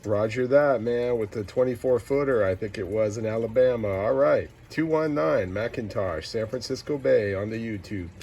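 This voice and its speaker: A man talks as a CB radio operator, rattling off clipped callsigns and numbers between bits of casual slang. His voice sits at an ordinary pitch under loud radio static and noise. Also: normal speed, hardly any pauses. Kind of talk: casual CB radio skip talk, calling callsigns.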